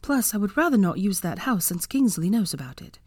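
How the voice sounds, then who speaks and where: A woman reads as an earnest young heroine in a storybook. The voice is lifted well above a low register, caring yet determined, and recorded dry and close. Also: big pitch swings, fairly quick, breathy edge, dry recording.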